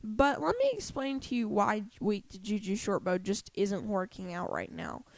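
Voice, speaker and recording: A congested woman talking to her viewers as a gaming YouTuber, sounding stuffy after just waking up. She rambles and corrects herself as she goes and drops gamer slang, with a voice of ordinary pitch. The sound is dry, with no room echo. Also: very animated, normal speed, vocal fry. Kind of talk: casual talk to viewers.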